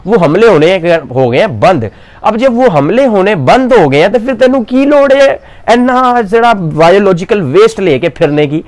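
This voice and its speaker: A man's voice: sits high, a little mellow, no room sound. A man in his twenties with a Pakistani Punjabi accent, explaining fitness in a chatty way to camera outdoors, on a clip-on mic. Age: 20s. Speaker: fitness coach and bodybuilder. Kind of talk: casual talk to camera. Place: outdoors in front of a brick wall. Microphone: clip-on lapel mic.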